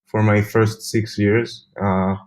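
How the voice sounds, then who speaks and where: Man explaining calmly. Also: lowish voice, takes it slowly. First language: Hebrew. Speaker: interview guest. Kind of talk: casual interview chat.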